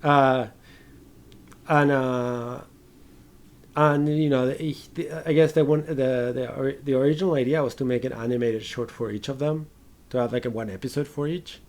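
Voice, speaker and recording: A man co-hosting a film podcast, talking casually and haltingly, with some informal swearing. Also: mid-range voice, steady tone.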